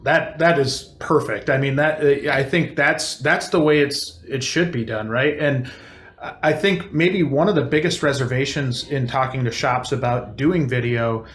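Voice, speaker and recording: A man's voice: medium pitch, moderate pace, fairly bright tone, echoey room. A man hosting an interview, who asks questions in an affirming way and keeps checking in with "right?". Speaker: interview host, company co-founder.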